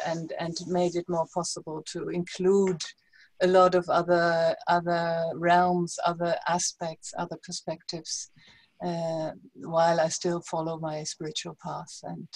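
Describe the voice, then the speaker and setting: Woman on a video call rambling along with little laughs, dry sound, lowish voice. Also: gravelly, no room sound, low-quality recording. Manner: warm, rambling, laughs easily. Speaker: participant in a women's circle. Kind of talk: casual video-call introduction.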